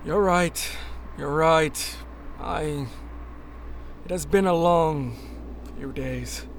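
A man's voice: on the high side, a little hiss or hum. A man playing an injured, stubborn rebel agent in a sci-fi radio drama, reasoning insistently. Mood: urgent, stubborn. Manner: insistent, measured explaining.

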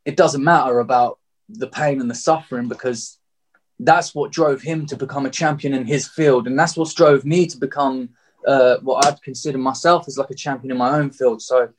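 A man's voice: mid-range voice, steady tone, moderate pace. A man of thirty with a southeast English accent, telling his personal story openly and reflectively as an interview guest. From Margate, England.

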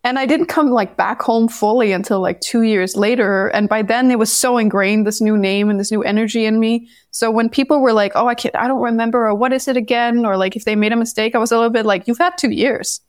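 A woman from Denmark, a podcast guest telling her story in a candid, animated way. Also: a little high, a little fast, no echo, clean studio sound. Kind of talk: casual interview conversation.

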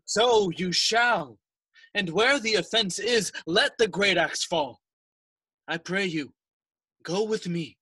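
Casting: manipulative middle-aged king, raspy and measured, dry sound, classical audio drama. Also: sits high, pushed and bright, dry recording, exaggerated voice, rough recording. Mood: smooth, commanding. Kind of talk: classical stage acting for audio.

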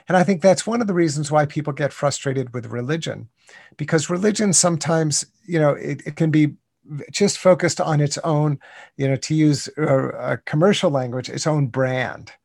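A man's voice: on the high side, normal speed, creaky, darkish tone, no room sound, clean studio sound. An American man in his sixties speaking as a guest in an interview, thoughtful and earnest, often restarting with "you know". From United States. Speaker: guest, contemplative writer. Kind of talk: reflective interview talk.

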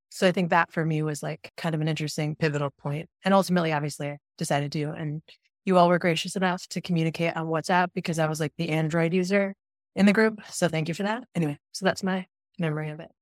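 A 40-year-old woman from Michigan talks through her story in a loose, chatty way, leaning on "like" as she goes. Her voice sits low and is recorded close and dry, with no room around it. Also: no room sound. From Michigan, USA. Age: 40s. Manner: chatty, heavy use of "like" as filler. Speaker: guest, donor-conceived sibling. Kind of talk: casual conversational interview.

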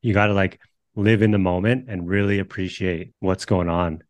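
A man with a very deep voice hosts a podcast and chats in a relaxed, friendly way. The recording is dry, with no room echo around him. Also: normal speed. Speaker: podcast host, softball coach. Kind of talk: casual interview chat.

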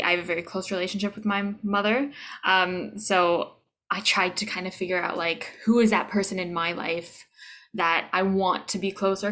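A woman's voice: fairly low pitch, fairly bright tone. A woman in her twenties with a Canadian accent, talking casually and reflectively as an interviewed voice actor, sometimes searching for words. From Toronto, Canada. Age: 20s.

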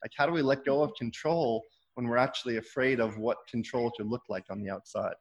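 A man's voice: mid-range voice, fairly quick, slightly rough, poor audio. A man hosting an interview, affirming the guest and asking long, searching questions with repeated words. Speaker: podcast host.

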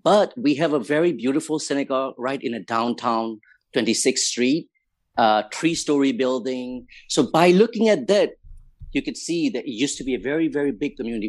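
A man from Myanmar with a Burmese accent, recounting life and history as an interview guest in halting, conversational English phrasing. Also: medium pitch, a little mellow, no room sound. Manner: hesitant, halting non-native English phrasing. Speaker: interview guest, community leader.

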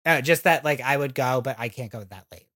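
A woman's voice: very deep voice, brisk, fairly bright tone. A woman in her thirties co-hosting a podcast, ranting about reality TV with lots of swearing and acted-out quotes. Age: 30s. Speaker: podcast co-host. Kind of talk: casual reality-TV recap chat.

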